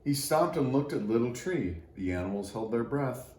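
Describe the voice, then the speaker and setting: A man reading a children's story aloud in an expressive storytelling manner. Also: mid-range voice, moderate pace. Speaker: storybook reader for church children. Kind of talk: scripted children's story narration. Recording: echoey room, faint background noise.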